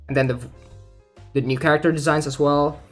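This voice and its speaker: A young man with a slightly high voice talks like a gaming YouTuber going over news. His delivery is informal and a little hesitant, as if working out his thoughts aloud. Faint music plays behind him. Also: flat delivery, a little fast. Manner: informal, hesitant, thinking out loud. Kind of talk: casual game news commentary, partly reading aloud.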